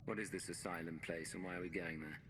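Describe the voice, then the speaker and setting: A man playing a calm, terse, assured hero in a video game cutscene. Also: low-pitched, fast talker, background noise, lightly acted. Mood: calm, probing.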